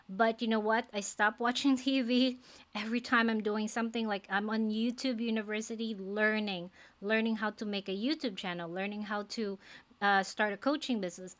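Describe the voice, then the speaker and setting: A woman's voice: mid-range voice, a bit bright. A woman in her forties from the Philippines with a Filipino accent, English not her first language, talking earnestly to camera as a faith-based life coach, with many fillers. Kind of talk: casual talk to camera.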